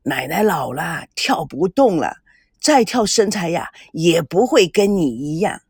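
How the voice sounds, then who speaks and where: A woman voicing a kindly, modest elderly grandmother in an audiobook, gentle and self-deprecating. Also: lowish voice, very animated, measured pace, slightly rough, no room sound, clean studio sound, full character voice.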